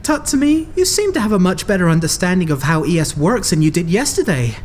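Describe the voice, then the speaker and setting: Woman acting lowish, very expressive young idol. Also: quick pace, a little hiss or hum. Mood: measured, polite. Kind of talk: anime game fan dub acting.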